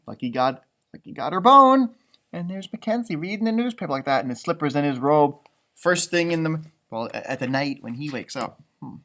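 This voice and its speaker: A man reading a children's story aloud, adding chatty, improvised asides about the pictures. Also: high voice. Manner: animated, chatty commenting on pictures. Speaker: storybook reader.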